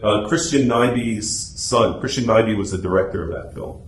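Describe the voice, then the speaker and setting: A man's voice: fairly low pitch, moderate pace. A man giving an informal club talk in a meeting room, explaining things through anecdotes. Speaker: guest speaker, radio drama society officer. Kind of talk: informal club presentation and discussion. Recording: echoey room, faint background noise.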